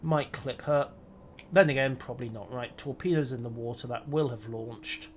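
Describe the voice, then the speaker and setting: A man's voice: medium pitch, moderate pace, a little hiss or hum. A man streaming a naval battle game, talking himself through each move with dry, wry asides. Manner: dry, wry, muttering self-commentary. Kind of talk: live gameplay commentary.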